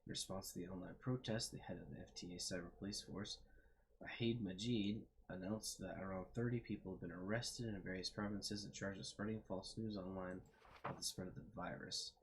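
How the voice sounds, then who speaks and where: Deep-voiced young man reading aloud. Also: fairly even intonation, brisk, a little hiss or hum, poor audio. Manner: thoughtful, trailing off with fillers. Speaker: gaming video host. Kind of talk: casual let's-play commentary, reading aloud.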